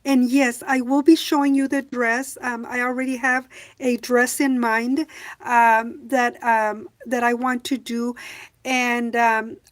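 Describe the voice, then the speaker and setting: A woman hosting a casual livestream who speaks with a calm voice, reading viewer comments and chatting with many hesitations and fillers. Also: on the high side. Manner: slow, soft-spoken, many hesitations. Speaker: livestream host.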